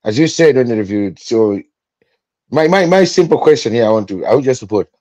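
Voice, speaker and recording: A man co-hosting a podcast, asking questions in a halting way with repeated words. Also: medium pitch, animated, dark tone, dry recording. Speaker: podcast co-host. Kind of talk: casual interview questions.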